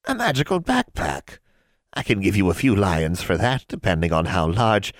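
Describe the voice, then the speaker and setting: A man voicing a sly old female hag who lends money, teasing and cackling with drawn-out words. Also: low voice, no echo, clean studio sound, natural voice. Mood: mocking.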